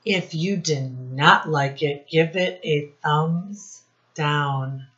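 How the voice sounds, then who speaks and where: An American woman with a fairly low voice leads a storytime lesson for small children. She speaks very slowly and instructively, putting questions straight to her listeners. The recording is a little muffled and lo-fi. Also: fairly bright tone. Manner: instructive, asks questions, addresses listeners directly. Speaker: children's teacher and read-aloud host. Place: home office classroom.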